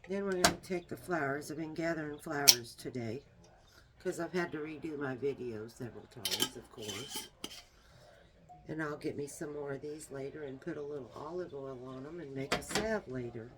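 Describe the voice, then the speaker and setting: A woman with a small, soft voice, demonstrating a herbal remedy in a homey, unhurried way while she works. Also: low voice, very noisy. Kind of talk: casual how-to demonstration.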